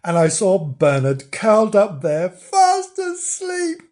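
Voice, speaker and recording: A man's voice: high voice, wildly swooping pitch, slow pace, a little mellow, voice pushed up, full character voice. A man voicing a sweetly silly little girl with a wide-eyed, gushing manner in a storybook. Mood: amazed.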